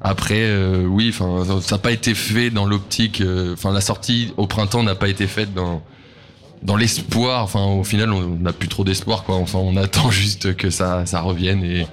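A young man answers a radio interviewer casually. His voice is fairly low, and he talks loosely, with many fillers. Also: moderate pace. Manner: relaxed, loose, lots of fillers. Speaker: band member.

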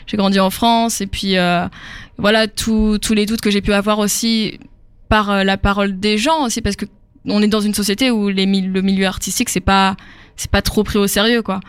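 A woman's voice: medium pitch, level tone, moderate pace, clean tone, dry recording. A young woman singer from Africa, answering interview questions casually with many fillers and easy laughs.

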